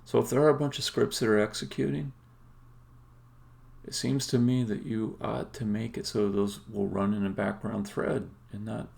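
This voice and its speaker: Very gravelly, muttering man at work. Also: on the low side, little pitch movement, moderate pace, darkish tone. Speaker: game developer live-streaming a coding session. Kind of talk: casual thinking aloud while live-coding. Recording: faint background noise, typing and clicks. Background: computer keyboard typing and mouse clicks.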